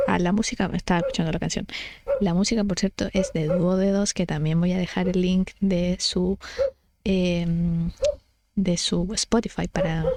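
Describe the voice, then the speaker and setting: A woman's voice: lowish voice, little pitch movement, moderate pace, a little mellow. A woman chatting casually as she explains things, thinking aloud and correcting herself in an informal way. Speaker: book study vlogger.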